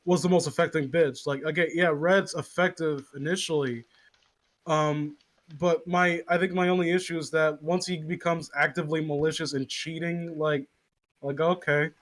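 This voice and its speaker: A man's voice: on the high side, fairly even intonation, normal speed. A man chatting casually with friends as a podcast co-host, rambling, sarcastic and swearing freely.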